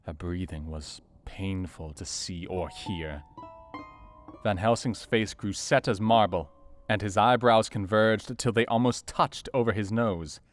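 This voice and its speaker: Man acting low-voiced, sombre young doctor narrating. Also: a little slow, natural voice. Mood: grave. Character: grave, observant young doctor recounting his diary. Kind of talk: dramatised scripted narration. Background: soft guitar music.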